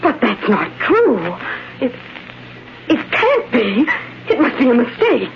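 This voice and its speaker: An actress playing an anxious, halting, distraught wife in a vintage radio drama. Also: female voice, fairly high pitch, sing-song, gravelly, heavily acted. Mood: distraught, disbelieving. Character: distraught, anxious wife pleading for missing husband. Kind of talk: 1930s-40s radio drama acting. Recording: muffled, noisy vintage radio broadcast.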